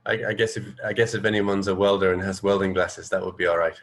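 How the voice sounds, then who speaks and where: A man's voice: deep voice, fairly even intonation, fast, vocal fry, a bit bright. A man co-hosting a radio show in casual, easygoing, slightly hesitant chat. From England.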